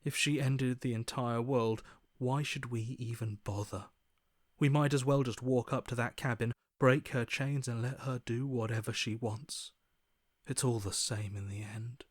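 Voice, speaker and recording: A man's voice: medium pitch, fairly even intonation, measured pace, no echo, studio quality, natural voice. An actor voicing a defeated, fatalistic man in a video game, subdued and mournful, with breath at the ends of phrases. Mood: hopeless, resigned. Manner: resigned, mournful, bitterly pessimistic. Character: despairing, defeated man, broken and fatalistic inner voice. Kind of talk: dramatic video game voice acting.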